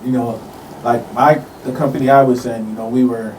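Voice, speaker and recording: A man's voice: mid-range voice, fairly even intonation, a little fast, a little mellow, distant mic. A man in his forties, a guest casually chatting and recounting things in an interview. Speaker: musician guest. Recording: roomy, off-mic, some background noise.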